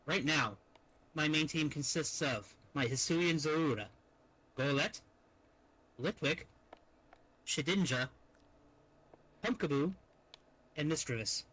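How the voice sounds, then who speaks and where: A young man with a slightly higher-than-usual voice. He chats in improvised roleplay in a deliberate way, repeating the starts of phrases to make his point. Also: lively intonation, sharp tone, low-quality recording. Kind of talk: casual improvised roleplay chat. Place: online virtual-reality chat.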